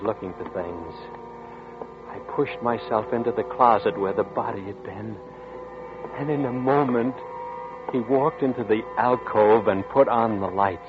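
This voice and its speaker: A man voicing a frightened young man accused of murder, nervous and halting, in 1940s radio drama. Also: fairly low pitch, close to an everyday voice. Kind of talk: 1940s radio drama, first-person narration. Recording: tinny old radio broadcast with music.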